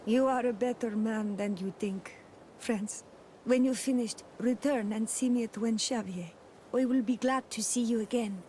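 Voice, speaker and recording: A woman's voice: fairly high pitch, moderate pace, mellow, slight background noise, natural voice, low-quality recording. A woman playing a proud, grateful freed captive in a video game, speaking in a solemn, heartfelt way. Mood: gentle, weary. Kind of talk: scripted video game acting.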